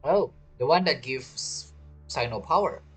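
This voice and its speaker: A man's voice: mid-range voice, animated, unhurried, fairly bright tone, husky, faint music behind. A young man giving casual, informal live commentary as a gaming streamer, reacting wryly.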